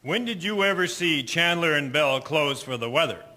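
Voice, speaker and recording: A man playing a calm, kindly husband and father in a 1920s audio drama. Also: fairly high pitch, moderate pace. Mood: reassuring. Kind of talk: scripted audio drama acting.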